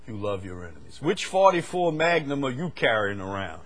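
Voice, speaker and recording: An older man from the United States preaching a sermon, swinging from loud, fervent stretches to quiet asides. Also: mid-range voice, sing-song, normal speed.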